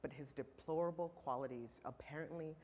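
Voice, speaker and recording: Guy in his 30s giving a fired-up keynote, lots of punchy pauses, big echoey hall. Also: a little high, darkish tone, a little hiss or hum. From Los Angeles, California. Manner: impassioned, emphatic, with pointed pauses. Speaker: keynote speaker. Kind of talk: prepared speech to a conference audience. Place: large conference hall. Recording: reverberant hall.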